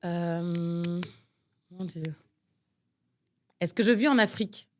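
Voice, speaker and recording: A young woman from Nigeria with a slightly low voice, co-hosting a casual podcast. She thinks out loud, full of fillers and hesitations, and sounds fed up with the game she's playing. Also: slow pace, slight background noise. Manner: exasperated, thinks aloud with many fillers. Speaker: podcast co-host. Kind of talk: casual chat, guessing game.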